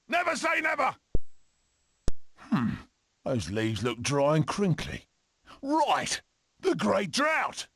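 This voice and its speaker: A high, gravelly, wildly swooping British voice for a swashbuckling weasel adventurer in a cartoon video game. He is boastful and eccentric, delivering each line with theatrical relish and stretching his words out. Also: sharp tone, a little hiss or hum, heavily acted. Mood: daring, excited, then scheming. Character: manic, swashbuckling one-eyed weasel adventurer with a whip. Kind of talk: animated video game character voice clips.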